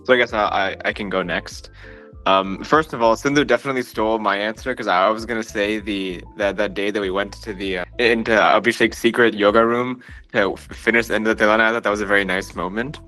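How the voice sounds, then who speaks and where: A young man from Dallas talks in a hesitant way, restarting his sentences often. His voice sits fairly low. Faint music hums in the background. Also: fairly even intonation, fast talker, bright, forceful tone, poor audio. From Dallas, Texas. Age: young adult. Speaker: dancer guest.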